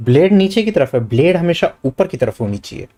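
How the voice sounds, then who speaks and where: A man's voice: a little high, very animated, very fast, warm tone. A man with an Indian accent streaming a game, reading dialogue aloud and adding casual comments. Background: game music.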